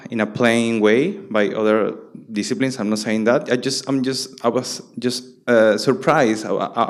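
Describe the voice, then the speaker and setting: Male curator from Peru, late 30s, low smooth voice, halting panel talk. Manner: halting, many restarts and fillers. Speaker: guest curator. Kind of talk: panel conversation.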